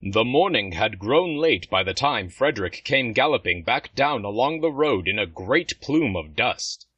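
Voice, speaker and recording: A man's voice: medium pitch, expressive, measured pace, bright, forceful tone, breathy edge, no echo, dull audio. A man narrating a scripted fantasy story as the narrator of an audio drama.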